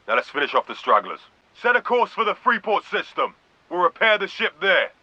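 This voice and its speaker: Forceful military admiral over radio. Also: male voice, high voice, very animated, a little fast, husky, no echo, heavily acted. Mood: firm, commanding. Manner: authoritative, clipped, urgent orders. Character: stern military vice admiral, grave, commanding fleet officer. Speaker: military commander character. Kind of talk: video game voice acting, military radio orders. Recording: dull band-limited radio sound.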